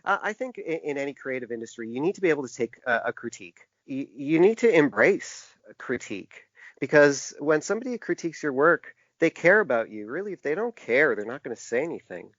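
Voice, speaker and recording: A man speaks as an interview guest, explaining his creative work in a conversational register. His delivery is halting and searching, with long answers. The recording is dry and somewhat muffled. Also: fairly high pitch, sing-song, a bit bright, no echo. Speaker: podcast guest, typographer and graphic designer. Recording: dull, lo-fi, dry podcast audio.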